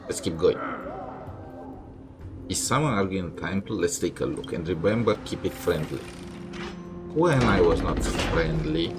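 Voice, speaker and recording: Low voiced guy streaming a game, casual irreverent comments, loud music behind him. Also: expressive, measured pace, pauses often. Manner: irreverent, heckling the characters. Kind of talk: casual gameplay commentary, reading dialogue aloud.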